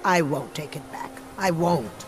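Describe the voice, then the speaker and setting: A woman voicing a disturbed, desperate lizard-woman in a fantasy video game, gravelly and pleading. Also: low voice, unhurried. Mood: desperate, haunted. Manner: pleading, haunted. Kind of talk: video game character dialogue. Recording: game music behind.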